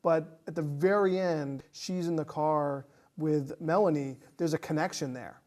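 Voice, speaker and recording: A man speaking as a guest in a casual film discussion, halting and searching for his words. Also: a little high, fairly even intonation, a little mellow. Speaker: podcast guest.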